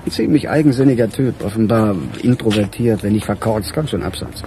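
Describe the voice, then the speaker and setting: An actor playing a weary middle-aged police chief in a radio crime drama, with a dry, musing, sardonic manner. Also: male voice, on the low side, fairly quick, noisy background, close to an everyday voice.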